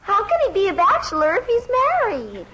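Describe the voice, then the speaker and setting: A woman playing an excitable, curious little girl in a 1950s radio sitcom. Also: extremely high pitch, brisk, dull audio. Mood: excited, puzzled. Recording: vintage radio recording, faint noise.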